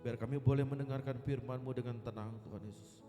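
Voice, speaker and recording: A man leads an earnest devotional prayer. His mid-pitched voice carries through a reverberant hall while an instrument plays clearly underneath. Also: steady tone, fast. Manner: earnest, repetitive invocations. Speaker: church prayer leader. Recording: echoey hall, music under voice. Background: musical instrument playing.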